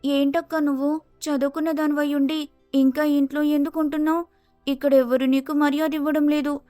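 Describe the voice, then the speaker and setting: Woman doing an outspoken younger sister, very high pitch, blunt, indignant, dry recording, story drama. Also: flat delivery, brisk, mellow, dry recording, soft background music, lightly acted.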